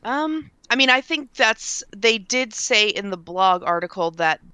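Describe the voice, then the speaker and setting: Bright, clear-voiced woman, slightly congested. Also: medium pitch, animated, measured pace, dry recording. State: mildly congested. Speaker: podcast panelist discussing video games. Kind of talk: casual panel discussion.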